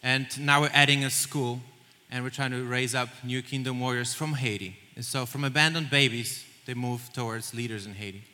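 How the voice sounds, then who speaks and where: A man speaks earnestly, walking through numbers and stories with small restarts. His voice is strikingly bright and cutting. It rings out with the long reverb of a large church hall. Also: mid-range voice, steady tone, moderate pace, few pauses. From Poland. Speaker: missions leader. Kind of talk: onstage interview in church.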